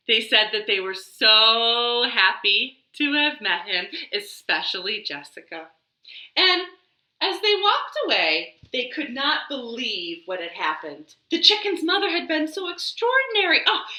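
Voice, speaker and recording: A woman hosting a children's storytime, reading aloud expressively with dramatic pauses and drawn-out words. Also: a little high, bright, forceful tone. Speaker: library storytime host. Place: living room. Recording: echoey living room.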